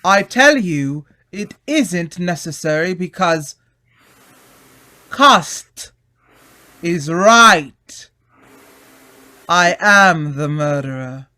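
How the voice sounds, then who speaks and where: A man's voice: a little high, expressive, very slow, pauses often, pushed and bright, full character voice. A man acting a haughty, cunning heir and murderer in an old-time radio mystery, theatrical and condescending. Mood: agitated.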